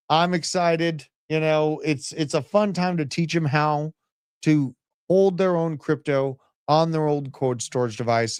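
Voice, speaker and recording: A man in his thirties hosting a livestream, giving brash, animated market commentary and chatting with live viewers. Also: on the high side, smooth, dry recording, clean studio sound. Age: 30s. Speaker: crypto livestream host.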